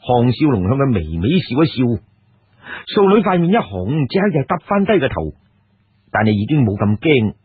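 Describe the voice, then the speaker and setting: A man's voice: mid-range voice, very animated, moderate pace, husky, no room sound. A man with a Cantonese accent narrating a scripted story expressively as a storyteller. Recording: lo-fi, narrow phone-like radio sound.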